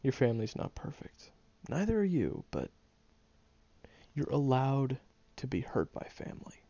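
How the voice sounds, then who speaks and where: A man plays a sarcastic, grumpy young guy in an audio roleplay who swears freely but softens into real care. His low, gravelly voice swings widely in pitch, with a dark, mellow tone on a dry, slightly muffled recording. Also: big pitch swings, measured pace, no echo, heavily acted. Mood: firm. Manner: blunt and profane, gentle underneath. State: groggy, just woken up. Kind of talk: audio roleplay monologue to the listener.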